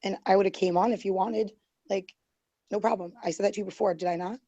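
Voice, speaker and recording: Dark-voiced woman losing her voice. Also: mid-range voice, steady tone, fast, dry recording, highs cut off. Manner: rambling, defensive, many fillers and restarts. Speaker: guest. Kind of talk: heated online group call.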